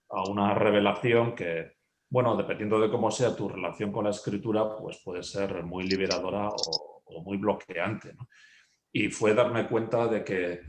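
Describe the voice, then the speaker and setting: A man's voice: fairly low pitch, reverberant, a little hiss or hum. A man from Spain, an author being interviewed, answering thoughtfully with many fillers, restarts and questioning tags. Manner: hesitant, many fillers and restarts, ends phrases with a questioning tag. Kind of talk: reflective conversational answer.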